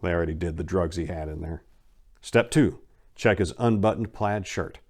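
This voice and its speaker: A man's voice: low-pitched, moderate pace, a little mellow. A man narrating a crime short story as a dramatic reading, with theatrical, emphatic delivery.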